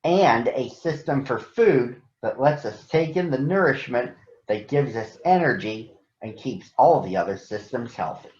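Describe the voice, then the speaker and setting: Guy doing a storybook mama-aged bear doctor lady, slow n patient, explains stuff, echoey room. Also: mid-range voice, expressive, darkish tone, close to an everyday voice. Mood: instructive. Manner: explanatory, encouraging, deliberate. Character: patient, instructive female grizzly bear doctor. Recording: echoey room, slightly dull sound.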